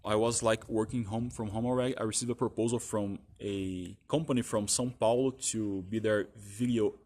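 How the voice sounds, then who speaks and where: A man whose first language is Portuguese, talking casually about his work history in a halting way with many restarts and fillers. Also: fairly low pitch, steady tone, a little mellow. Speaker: vlogger telling his career story. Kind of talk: casual spoken monologue.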